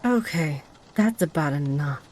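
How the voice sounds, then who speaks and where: A coolly confident succubus, played by a woman in an ASMR roleplay. The voice is dark and somewhat low, with very slow, deliberate delivery. She sounds possessive and dryly threatening. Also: animated, a little hiss or hum. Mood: cool, stern.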